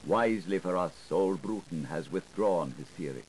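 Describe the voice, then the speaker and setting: A man narrating a literary audiobook in a theatrical, deliberate, incantatory manner, playful with the wordplay, on a 1980s recording. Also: low-pitched, expressive, darkish tone, a little hiss or hum, poor audio.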